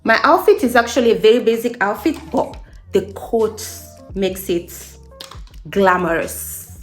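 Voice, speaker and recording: A woman's voice: mid-range voice, animated, measured pace, soft background music. A woman in her late twenties from Guinea, a beauty vlogger chatting casually in an upbeat, emphatic way, repeating phrases to encourage her viewers. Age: late 20s. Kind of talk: casual get-ready-with-me chat.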